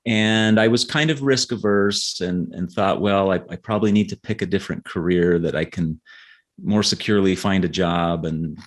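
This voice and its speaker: A middle-aged man giving conversational interview answers as a guest author, telling his story haltingly and repeating words as he thinks. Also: low-pitched. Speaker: guest novelist.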